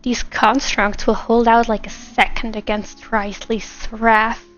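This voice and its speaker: High-pitched excitable woman streamer, slightly dull audio. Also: unhurried. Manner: excitable, egging the characters on. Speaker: VTuber game streamer. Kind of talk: casual live reaction to game cutscenes. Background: game music and dialogue.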